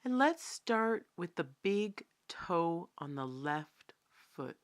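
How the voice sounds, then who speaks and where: A woman leads a guided body scan meditation in a slightly low voice. Her delivery is very slow and calm, with long pauses between phrases. She instructs gently, as a teacher would, never hurrying the listener.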